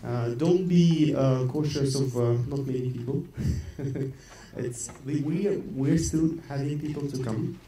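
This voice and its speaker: A man's voice: mid-range voice, measured pace, mellow. A man from Kazakhstan, an executive at the host company, giving housekeeping notes at a seminar, hesitant with many fillers and repeated words. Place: conference hall. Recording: echoey hall, far from the mic, light noise.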